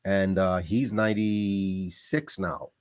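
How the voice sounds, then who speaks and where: A middle-aged man from the Bahamas, a podcast guest telling stories about growing up in a lively, casual way. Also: deep voice, slow pace, smooth, dry recording, studio quality. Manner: animated, laughs often, trails off into quieter asides. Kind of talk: casual storytelling.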